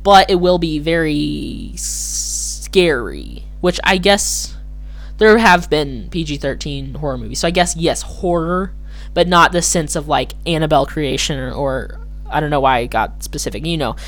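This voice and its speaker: Slightly hoarse rambling podcast host. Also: medium pitch, unhurried. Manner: rambling, hesitant, self-correcting. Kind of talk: casual solo commentary.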